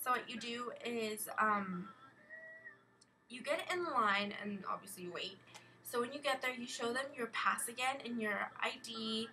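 A teenage young woman tells a story casually in a rambling, animated way, peppered with "like". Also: fairly high pitch, measured pace. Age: teens, a minor. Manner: rambling, animated, heavy use of "like". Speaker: vlogger. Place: small carpeted bedroom, indoors. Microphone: webcam at desk distance. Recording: slightly distant webcam mic, faint noise.

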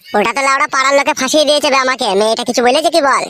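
Man doing a squeaky, fast-scolding cartoon buddy. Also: sharp tone. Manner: foul-mouthed, hectoring. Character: scolding, exasperated young male friend. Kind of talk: crude comedy cartoon dialogue.

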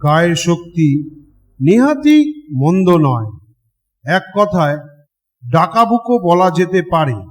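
A man narrating a scripted ghost story with deliberate, dramatic phrasing in short pieces. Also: high-pitched, big pitch swings. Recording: long reverb, loud night-ambience noise.

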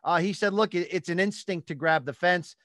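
Man, forties, high voice, dry close-miked sound, emphatic sports talk host. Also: fairly quick, fairly bright tone, no room sound. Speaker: podcast host. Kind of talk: sports talk recap and interview. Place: small home studio room. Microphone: close studio microphone on boom arm.

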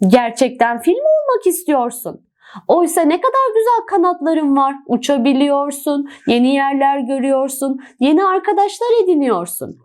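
A woman voicing kindly, admiring pink roses in a children's storybook, gently encouraging and full of wonder. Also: high voice, very animated, moderate pace, pitched above the performer's own voice. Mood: surprised, encouraging. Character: pink garden roses, astonished, kindly, admiring little friends.

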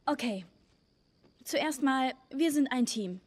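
A woman voicing a caring, candid teenage survivor girl in a German video game dub, gentle and hesitant in manner. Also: high-pitched, animated, slow, exaggerated voice. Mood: reassuring, candid. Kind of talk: German video game dubbing, naturalistic dialogue.

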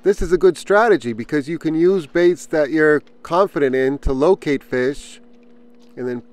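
A man hosting his own fishing vlog, talking casually in a relaxed way with fillers while he casts and reels from a small boat on a pond, recorded on an action camera. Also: a little high, clean tone. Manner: relaxed explanatory, thinking aloud with fillers. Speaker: fishing channel host.

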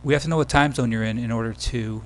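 A man giving a casual, step-by-step software tutorial as the presenter of a screen demo. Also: mid-range voice, quick pace. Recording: background hum and noise.

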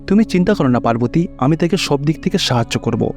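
A man playing a calm, benevolent god in a cartoon, explaining and reassuring. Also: fairly high pitch, fast talker. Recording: faint music behind.

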